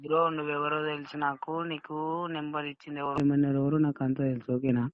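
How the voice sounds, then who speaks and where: A man's voice: on the high side, flat delivery, quick pace, phone-quality sound, a crowd in the background. A young man in a casual phone conversation, questioning and protesting, often saying bro. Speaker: prank call target.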